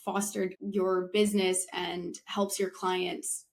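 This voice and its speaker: A woman's voice: lowish voice, little pitch movement, slow pace, creaky, slight background noise. A woman from Canada hosting a casual podcast interview, upbeat and chatty, with an enthusiastic manner. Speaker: podcast host, realtor.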